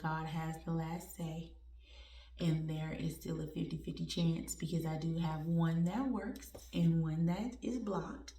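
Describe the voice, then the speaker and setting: Slow-talking woman in her forties, roomy sound. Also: deep voice, a little mellow, distant mic. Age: 40s. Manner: candid, conversational, laughs at herself, uses y'all. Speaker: vlogger. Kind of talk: personal heartfelt talk to viewers.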